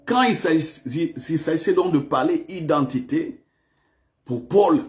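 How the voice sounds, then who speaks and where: A man's voice: fairly high pitch, measured pace, a little mellow. A male pastor preaching a sermon in a church, repeating questions to the congregation with big swings of emphasis.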